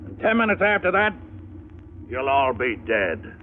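An actor playing a mocking, menacing spaceship captain in the formal style of a 1950s radio drama. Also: male voice, medium pitch, very animated, unhurried. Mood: gloating, menacing. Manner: mocking, coolly threatening, formal. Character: sneering, menacing male spaceship captain facing mutiny. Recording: tinny, noisy old radio recording.

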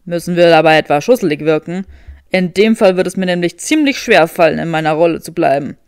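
A woman's voice: on the low side, animated, a little fast, a little mellow, smooth, dry recording, clean studio sound, voice pushed down. A woman voicing a haughty, skeptical young wizard man with a drily sardonic drawl in an audiobook. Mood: reluctant, snide.

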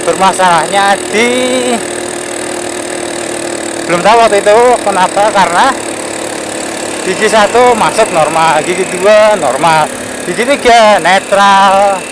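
A man riding a motorcycle, chatting casually in a loose, rambling way. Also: sits high. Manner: rambling, repeats phrases, pauses to recall words. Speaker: motovlogger. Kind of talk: casual narration while riding. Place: outdoors on a motorcycle on a road. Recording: engine and road noise.

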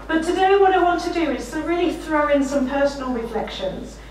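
A middle-aged woman with a slightly croaky voice, giving a conference keynote with self-deprecating anecdotes. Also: high-pitched, expressive, a little fast, a little hiss or hum. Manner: warm anecdotal, self-deprecating, often laughing while speaking. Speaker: keynote speaker. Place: lecture room, standing behind a desk. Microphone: room mic, far from speaker. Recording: echoey lecture room from far off.

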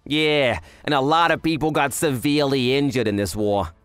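A man's voice: mid-range voice, moderate pace, a bit bright, clean tone, soft background music, close to an everyday voice. An actor playing a cocky, chatty young male yakuza who narrates in a scripted anime dub. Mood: explaining.

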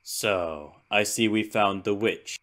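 A man voicing a stern, self-righteous witchfinder in an animated drama, commanding and formal. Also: fairly low pitch, slow pace. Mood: brisk, commanding.

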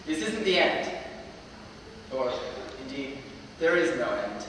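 A man's voice: medium pitch, animated, takes it slowly, a bit bright, far from the mic, a little hiss or hum. A young man in his late teens giving an earnest graduation speech with wry humor from a lectern in a large auditorium. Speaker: student graduation speaker. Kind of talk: commencement address. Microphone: stand mic, filmed from far off. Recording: echoey hall recorded from afar.

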